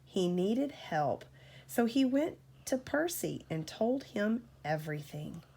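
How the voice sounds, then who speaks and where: A woman reading a storybook aloud to a child as a parent, in an expressive, deliberate storytelling manner. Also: medium pitch, gravelly, breathy edge.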